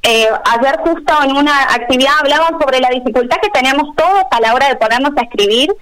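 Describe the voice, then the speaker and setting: A woman teacher with an Argentine accent, talking quickly with many hesitations on a video call. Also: high-pitched, fairly bright tone, rough recording. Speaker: special education teacher. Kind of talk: answering questions on a video call panel.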